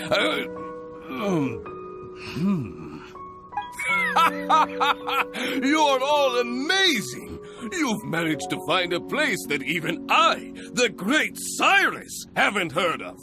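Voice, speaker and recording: Jovial guild master, grandiose, theatrical, hugely swooping intonation, unhurried, video game, music behind. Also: male voice, high voice, huge pitch swings, full character voice. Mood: boastful, grand. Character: boastful, hearty middle-aged male adventurers' guild master.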